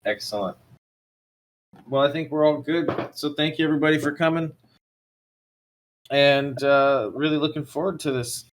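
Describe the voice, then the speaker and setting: A man hosting a casual online crypto chat, relaxed, with frequent ums, trailing off softly. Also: fairly high pitch, moderate pace, pauses often, a little hiss or hum. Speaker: host of crypto investor AMA.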